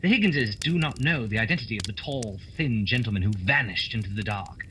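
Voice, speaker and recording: A man's voice: lowish voice, animated, a little fast, pushed and bright, a little hiss or hum. A man playing a self-assured, pompous Victorian detective with formal, articulate speech in a radio drama.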